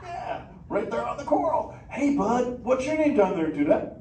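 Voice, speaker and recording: A man's voice: very high-pitched, sing-song, normal speed, a little mellow, distant mic. A man playing a laid-back male surfer sea turtle in a live interactive theater show, drawling surfer slang and bantering with the crowd. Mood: playful. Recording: echoey theater heard from afar with ambient noise.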